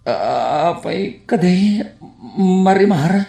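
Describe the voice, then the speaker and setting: An actor playing a bashful, stammering young bachelor in a radio comedy drama, with a Filipino accent. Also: male voice, sits high, animated, extremely slow, vocal fry, dark tone, heavily acted. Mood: flustered, bashful. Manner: stammering, hesitant, flustered. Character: bashful, flustered, stammering young bachelor who fears women. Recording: echoey room sound.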